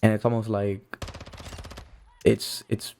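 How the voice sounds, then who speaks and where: A man's voice: lowish voice, little pitch movement, slow, creaky, mellow, slight background noise. A nineteen-year-old man from Cuba giving casual, rambling commentary to viewers in an informal way, peppered with "you know" and "like". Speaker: video creator.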